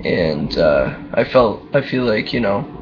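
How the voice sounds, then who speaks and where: A young man with a very low voice, talking candidly in a casual video diary, full of ums and uhs. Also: lively intonation, a little slow. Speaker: vlogger. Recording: dull lo-fi camera audio, faint hum.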